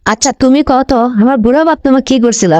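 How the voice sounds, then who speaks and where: A woman's voice: high voice, racing, darkish tone, no room sound, natural voice. A woman voicing a quarrelsome, defiant village wife in a comic cartoon, curt and scolding, with a North Bengal accent. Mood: resentful. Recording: slightly noisy recording with clicks.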